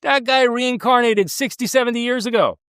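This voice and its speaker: A man's voice: very high voice, a little fast, a bit bright, clear, resonant tone, no room sound, clean studio sound. A man from the United States speaking as an interview guest, earnest and emphatic, with frequent restarts. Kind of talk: conversational interview.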